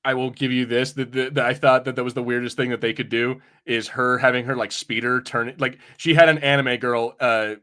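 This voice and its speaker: A man hosting a podcast, talking casually through a film and TV review in informal, halting phrases. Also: mid-range voice, steady tone, bright, forceful tone, smooth. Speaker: podcast host.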